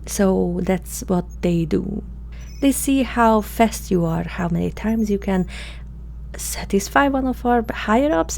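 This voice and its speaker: A woman playing a curious, flirtatious alien experimenter in an intimate ASMR roleplay, speaking haltingly in a soft, breathy murmur that often slips into whispers. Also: lowish voice, a little hiss or hum. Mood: coaxing. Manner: hesitant, halting, softly spoken, often slipping into whispers.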